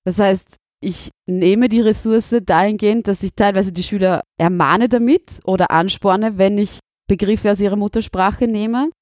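Austrian woman in her thirties, teacher, measured and candid, dry close mic. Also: medium pitch, fairly even intonation, a little mellow, dry recording. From Burgenland, Austria. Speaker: teacher, interviewee. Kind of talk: interview answers.

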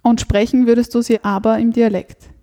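A woman's voice: on the high side, little pitch movement, normal speed, warm tone. A woman putting questions to a guest as a podcast interviewer in a conversational way.

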